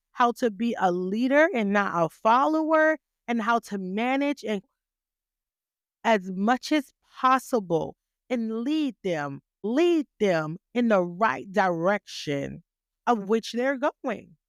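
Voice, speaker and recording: A woman podcast host gives a motivational talk and presses her listeners with question after question. She speaks slowly and deliberately, and her slightly high voice is smooth and clear. The recording is dry and close, and her voice sounds stern when she means business. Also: lively intonation, dry recording. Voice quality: stern but graceful voice. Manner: emphatic, rhetorical questions, repeats phrases for emphasis.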